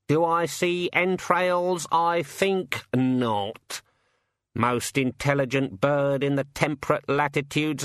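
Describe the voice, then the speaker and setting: A man's voice: fairly high pitch, a bit bright, clear, resonant tone, no echo, clean studio sound, voice pushed up. A man voicing a cynical, chatty male raven who grumbles and rambles on.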